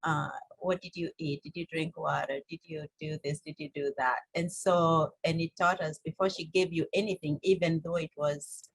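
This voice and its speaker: Husky Kenyan woman, fairly even pitch. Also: no room sound, poor audio. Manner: informal, halting, frequent "you know". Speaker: interview guest, holistic health coach. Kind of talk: conversational interview storytelling.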